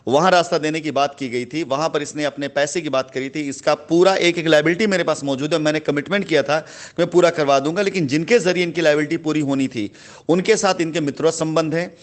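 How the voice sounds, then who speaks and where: A man speaks in a moderately high voice. He addresses customers like a company head making a formal announcement. His delivery is indignant and emphatic, with phrases repeated for stress. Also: fast talker. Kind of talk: voice note announcement, speech-like monologue.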